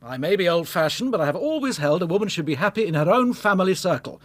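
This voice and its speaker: A man's voice: sits high, expressive, quick pace. An actor playing a stern, old-fashioned father in a period radio drama, pompous, curt and commanding. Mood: indignant, stern.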